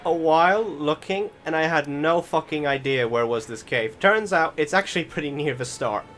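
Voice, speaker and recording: A man giving casual gameplay commentary, rambling and halting, often correcting himself as he goes. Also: a little high, fairly bright tone. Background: game music.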